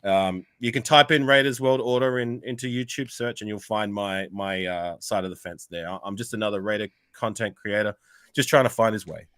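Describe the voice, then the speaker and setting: A man's voice: lowish voice, a little fast, a bit bright, no room sound, clean studio sound. An Australian man chatting casually as a guest on a fan podcast, rambling with lots of restarts. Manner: rambling, full of restarts and fillers. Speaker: guest content creator.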